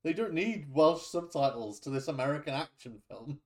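A man's voice: on the high side, animated, normal speed, a crowd in the background. A man in casual podcast chat, laid-back and dry with wry asides.

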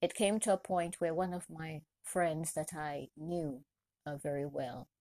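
Low-voiced woman podcasting. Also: steady tone, measured pace, warm tone, dry recording. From Trinidad and Tobago. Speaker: podcast host, chef and caterer. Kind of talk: solo podcast talk, motivational personal story.

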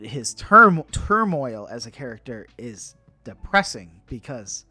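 A man's voice: mid-range voice, big pitch swings, slow, pushed and bright, breathy edge, faint music behind. Emphatic man in casual podcast chat. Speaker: podcast co-host. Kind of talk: casual nerd-news chat.

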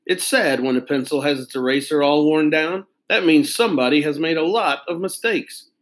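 A man doing a pompous, fussy pen salesman. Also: close to an everyday voice. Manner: pompous, slow and precise, salesman's pitch.

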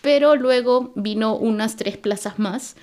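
A young adult woman, a podcast guest, telling animated stories about her job, laughing often and acting out what her colleagues say. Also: fairly high pitch, unhurried. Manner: animated storyteller who acts out quoted colleagues, laughs often. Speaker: podcast guest, school worker. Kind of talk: casual conversational anecdotes.

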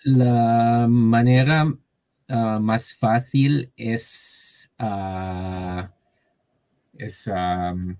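A man from Taiwan with a Taiwanese accent, a guest speaking Spanish as a learner, halting with many fillers and restarts. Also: on the low side, steady tone, warm tone, smooth. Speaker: interview guest. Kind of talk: casual conversation.

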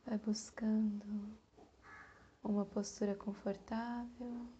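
A Brazilian woman guiding a meditation in a soft, breathy, calm voice, leaving long pauses between short phrases. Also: a little high, lo-fi sound, slight background noise. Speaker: meditation guide. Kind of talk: guided meditation.

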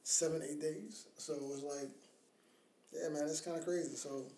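A man's voice: fairly high pitch, steady tone, normal speed, mellow, a little hiss or hum. A man in his thirties chatting casually as a podcast co-host, slangy and sweary with a teasing streak. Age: 30s. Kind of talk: casual chat about parenting. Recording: roomy, echoing sound.

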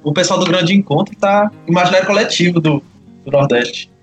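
A man's voice: high-pitched, a little fast. A man chats casually about music as a podcast panelist, talkative and full of opinions.

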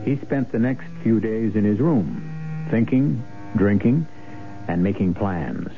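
A man hosting and narrating a 1970s radio mystery in a theatrical, wry, measured manner. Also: fairly low pitch, expressive, gravelly. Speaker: host and narrator. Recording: tinny old radio broadcast, music underneath. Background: music.

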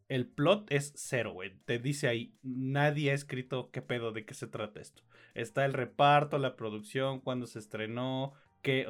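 Mexican man, medium pitch, informal grumbling film review, slangy podcast talk, soft music underneath. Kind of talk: casual film review chat.

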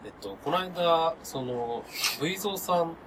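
A man in his thirties chatting casually as a podcast co-host, excitable and gushing, often trailing into half-finished sentences. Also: a little high, moderate pace, background noise. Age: 30s. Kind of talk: casual chat among friends.

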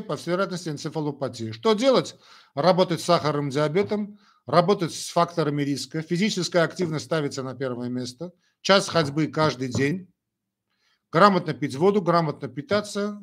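An older man with a slightly higher-pitched voice, talking as a doctor on a live stream. He speaks bluntly in short, emphatic phrases. His talk is loose and full of fillers. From Armenia. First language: Armenian. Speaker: doctor answering viewer questions. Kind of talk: live-stream Q&A, frank and chatty.

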